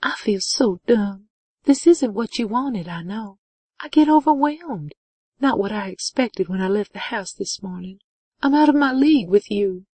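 Woman voicing an insecure young woman, husky, higher-pitched. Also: lively intonation, a little slow, a little mellow, no echo. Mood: embarrassed, vulnerable. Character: young woman, insecure, emotional, naive, romantic novel heroine. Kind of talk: audiobook character dialogue.

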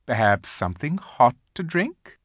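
A man voicing an imperious, menacing witch queen in an audiobook, haughty and commanding, with drawn-out emphatic words and a streak of false sweetness. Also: mid-range voice, sing-song, slightly rough, dry recording, clean studio sound, pitched above the performer's own voice. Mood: falsely sweet. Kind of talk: audiobook character voice.

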